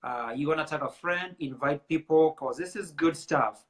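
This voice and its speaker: A man's voice: fairly high pitch, moderate pace. A man hosting a casual live-stream chat, greeting viewers and talking with many filler sounds. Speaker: show host.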